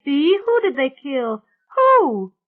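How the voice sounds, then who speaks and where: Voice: high-pitched, sing-song, slow, voice pushed up, heavily acted. A narrator voicing an urgent, anxious young niece in an audiobook story, with a thin, phone-like sound. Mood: alarmed.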